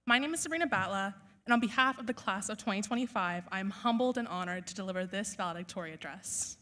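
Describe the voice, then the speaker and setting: A young woman whose first language is Urdu speaks at a podium mic on a school stage. Her delivery is earnest and measured, and she builds her points through rhetorical lists. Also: mid-range voice, sharp tone. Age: young adult. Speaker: valedictorian. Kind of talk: formal graduation speech.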